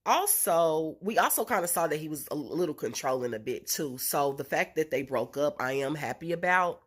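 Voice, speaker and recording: A young woman with a congested, nasal voice from a cold, recapping a reality show in a chatty way with lots of ums and blunt slang. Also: sits low. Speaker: reality TV reviewer. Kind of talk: casual recap and opinion vlog.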